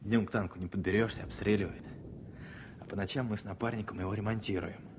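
An actor playing a gruff, fearless young tank soldier in a Soviet radio drama, excitable, over music. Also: male voice, lowish voice, quick pace, background noise, close to an everyday voice. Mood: hearty, indignant. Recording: 1970s radio play with loud music behind.

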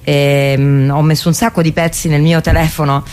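A woman's voice: low voice, little pitch movement, normal speed, darkish tone. A woman talking casually about music on the radio, hesitant and often repeating herself as she searches for words. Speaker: radio co-host. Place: radio studio. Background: faint music.

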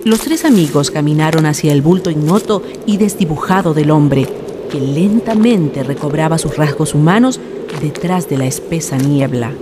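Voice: mid-range voice, moderate pace, noisy background. A narrator reading a horror story in a formal, ornate literary style. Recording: music behind the voice.

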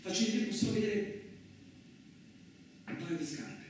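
A man acts out a first-person radio theatre monologue in colloquial, dramatic style. His voice is fairly high for a man. He sounds distant from the mic in a heavily echoing room, with faint noise. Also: normal speed. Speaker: stage actor performing a monologue.

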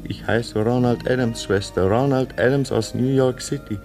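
A man's voice: mid-range voice, moderate pace, darkish tone, lightly acted. An actor in his thirties playing a frightened man who pleads urgently to be heard, with music behind. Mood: desperate. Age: 30s. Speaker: radio play lead.

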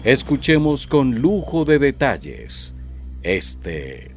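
A man's voice: wildly swooping pitch, slow. A man introducing an audio drama episode in a suspenseful, theatrical scripted style. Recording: music and sound effects behind voice. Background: music, rumbling effects.

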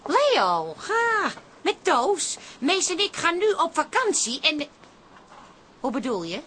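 Casting: bossy wife, swooping sharp delivery, scolding and emphatic, radio comedy. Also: female voice, sits high, sing-song, slow pace, exaggerated voice. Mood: bossy, angry. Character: bossy, exasperated wife on holiday, sly and scolding. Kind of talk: Dutch radio comedy drama acting. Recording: faint background ambience noise.

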